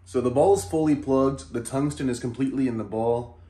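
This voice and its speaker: A man's voice: mid-range voice, brisk, dark tone. A man in his thirties hosting a vlog in an informal, matter-of-fact way, recorded in a living room. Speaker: video host. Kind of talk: casual explainer vlog.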